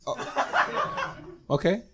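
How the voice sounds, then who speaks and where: A man's voice: extremely slow, very noisy. A man co-hosting a casual podcast chat, earnest, often repeating his opening words before finishing a thought. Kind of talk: casual conversation.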